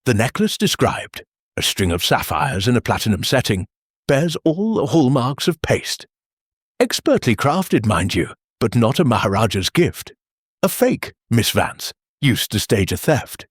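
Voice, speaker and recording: A man voicing a placid, shrewd elderly village lady sleuth, with a lilting, calm but cutting delivery in an audiobook mystery. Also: mid-range voice, unhurried, slightly rough, no room sound, clean studio sound.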